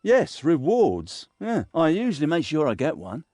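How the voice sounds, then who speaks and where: A man's voice: a little high, wildly swooping pitch, normal speed, darkish tone, no room sound, studio quality. A man playing a cowardly, reluctant thief in a fantasy game, hesitant and sardonic. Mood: sullen reluctance.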